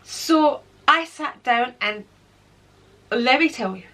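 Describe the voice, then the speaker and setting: A woman's voice: high voice, lively intonation, takes it slowly, fairly bright tone, rough recording. A middle-aged woman in a casual vlog chat, a little congested from a cold, talking easily as she points things out. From Britain. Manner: chatty, counting and pointing things out. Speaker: beauty vlogger.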